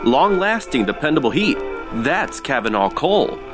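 A man's voice: fairly high pitch, big pitch swings, measured pace, over music. A man playing an upbeat, folksy 1940s radio announcer with emphatic, wholesome salesmanship. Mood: peppy, selling.

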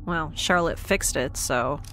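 A woman's voice: low voice, measured pace, a bit bright, slight background noise. A woman playing a sarcastic, defiant young spaceship dweller in an audio drama, with a flippant, casual delivery. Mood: breezy.

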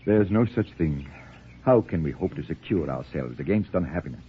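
A man's voice: a bass voice, animated, normal speed, breathy edge, heavily acted. An actor playing an urbane, whimsical middle-aged father with florid, drily philosophical phrasing, in a tinny 1940s radio drama. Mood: reflective. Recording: tinny, band-limited 1940s radio recording.

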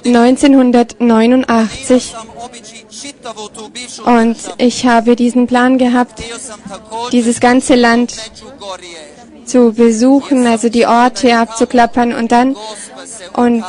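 Female live interpreter, higher-pitched, mellow, halting piecemeal delivery at an unhurried pace. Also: flat delivery. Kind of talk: live consecutive interpreting of a testimony.

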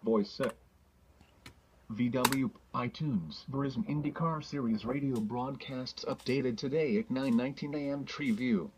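A man's voice: mid-range voice, unhurried, slight background noise. A synthetic male text-to-speech voice reading out screen items, heard from a computer speaker at some distance.

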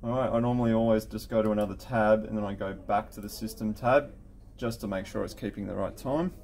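A middle-aged man with a lowish, very mellow voice walks through a technical setup step by step. His delivery is informal and matter-of-fact, like an installer showing the job at his desk. There is some noticeable background noise. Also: steady tone, fairly quick. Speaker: intercom installer giving a software setup tutorial. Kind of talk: casual step-by-step screen tutorial. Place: indoor office at a desk with computer.

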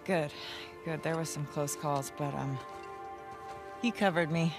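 A woman's voice: deep voice, measured pace, over music. An actress playing a dry-witted, level-headed American adventurer woman in a video game, with an understated, teasing manner. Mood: relieved. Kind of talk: cinematic video game acting, naturalistic.

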